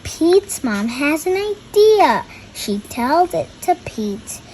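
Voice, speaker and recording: High-voiced young girl reading slowly. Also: big pitch swings, a little mellow, slight background noise. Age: child. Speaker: young reader presenting a picture book. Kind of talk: read-aloud storytime with picture explanations.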